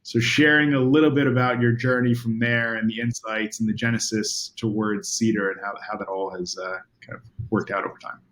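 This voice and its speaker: A man hosting a video interview series, reading a sponsor note and asking questions in a quick, upbeat way with fillers. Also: lowish voice, fairly even intonation, a bit bright, slight background noise, poor audio. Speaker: podcast host.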